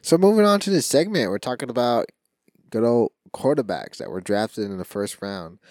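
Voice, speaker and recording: A man's voice: mid-range voice, lively intonation, moderate pace, a little mellow, dry recording. A man with a stuffy, allergy-clogged voice co-hosts a sports podcast in a loose, rambling way. He jokes around and often restarts his sentences. The sound is close and dry, with no room around it. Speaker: podcast co-host. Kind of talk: casual sports chat.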